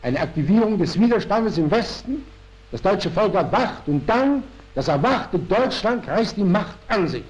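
Older man reading a fervent, declaiming young militant, swooping pitch. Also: sing-song, measured pace. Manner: emphatic, declamatory, pressing. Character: fervent young nationalist militant, urgent and radical. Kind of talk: dramatic play reading. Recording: dull historical archive recording.

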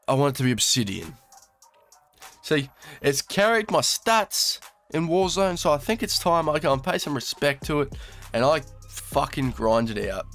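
A young Australian man talks over his own gameplay, crude and relaxed, swearing freely. His voice is a little nasal from a cold. There is a faint music bed underneath. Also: a little high. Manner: crude, swearing, laid-back slang. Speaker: gaming YouTuber. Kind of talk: casual commentary over gameplay.

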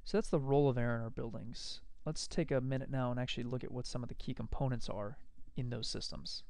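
A man giving a measured, explanatory recorded lecture over slides. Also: mid-range voice, darkish tone, dry recording.

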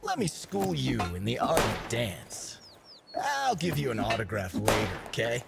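An actor playing a cocky, laid-back young dancer and DJ in a video game, with swaggering party slang and a gravelly voice. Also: male voice, medium pitch, huge pitch swings, unhurried, loud music behind the voice, full character voice. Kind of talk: video game character voice lines.

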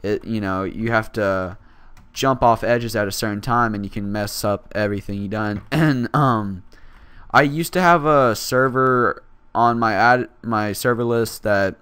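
A teenage boy hosting casual gameplay commentary, raspy from just waking up, rambling and self-deprecating with lots of fillers. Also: fairly low pitch, unhurried, fairly bright tone, dry recording. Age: teens. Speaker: gaming channel host.

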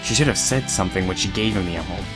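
Male narrator, scripted storytelling, lowish pitch, audio drama, soft music behind. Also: racing, low-quality recording.

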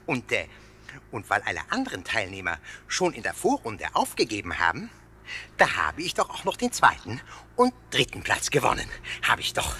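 A man voicing a cheeky, boastful little castle ghost in a children's audio drama, with a husky voice and a self-satisfied habit of repeating himself. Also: mid-range voice, wildly swooping pitch, normal speed, pushed and bright, a little hiss or hum. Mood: boastful indignation.

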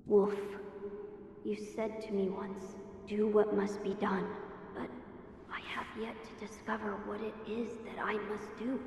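Woman as young boy lord, solemn, formal, fairly even intonation, long echo, video game cutscene. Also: mid-range voice, natural voice. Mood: troubled. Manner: formal, earnest, measured. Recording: very echoey, long reverb, faint noise.

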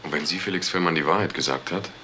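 A factual police detective in a crime radio drama. His fairly low voice has a sharp, bright edge. He lays out findings plainly over faint noise. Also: fast talker. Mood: matter-of-fact. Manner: factual, questioning. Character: matter-of-fact police detective reporting findings.

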